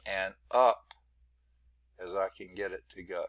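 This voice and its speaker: A hoarse, congested man teaching a math class over a video call, narrating his screen setup in a rambling, self-deprecating way. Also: low voice, steady tone, measured pace, dry recording, clean studio sound. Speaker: math instructor. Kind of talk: online class lecture. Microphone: computer mic on a video call.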